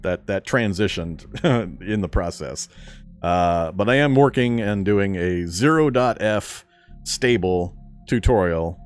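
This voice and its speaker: Low-voiced man chatting on a game stream. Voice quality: fairly strong speaking voice. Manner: relaxed, chatty, reads viewer questions aloud and answers. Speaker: gaming streamer. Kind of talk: casual live-stream chat while gaming.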